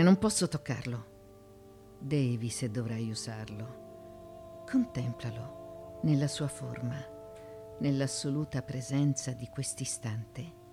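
Low-voiced, intense tragic Greek heroine. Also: female voice. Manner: intense, deliberate, self-questioning soliloquy. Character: proud, desperate ancient Greek woman facing suicide. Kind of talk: theatrical radio drama monologue.

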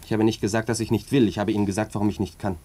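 An actor in a radio play voicing a proud, earnest young cavalry lieutenant, polite and emphatic. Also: male voice, lowish voice, flat delivery, rapid-fire, warm tone, close to an everyday voice. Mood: firm, proud.

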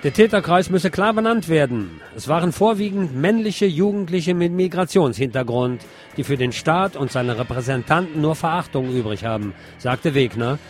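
Male presenter, mid-pitched, reading press commentary from a script over faint music. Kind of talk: scripted read-aloud news commentary.

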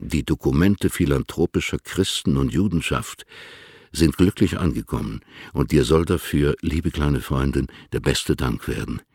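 An actor playing a cool, sardonic, haughty letter writer in a radio play, husky and formally measured. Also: male voice, rumbling low, darkish tone, no echo, full character voice. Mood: dry irony.

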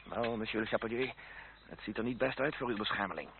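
Gravelly formal gentleman officer. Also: on the low side, fast talker, phone-quality sound. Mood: wry, watchful. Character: formal gentleman officer refereeing a duel, wryly observant. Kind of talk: radio play acting. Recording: old radio recording, thin and noisy.

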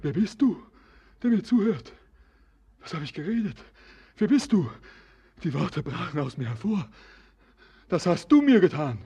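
An actor playing a melancholy, brooding sailor who declaims in a solemn, poetic, visionary way in a German radio drama. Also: male voice, a little high, big pitch swings, a little slow, long pauses, mellow, breathy edge, exaggerated voice. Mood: bewildered. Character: melancholy, brooding male sailor, estranged from life, longing for earth.

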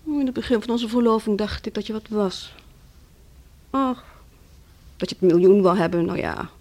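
An actress in a Dutch radio play playing a bitter, proud young wife who talks in a scornful, taunting way. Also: female voice, medium pitch, normal speed, a little mellow, close to an everyday voice. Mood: weary, cutting.

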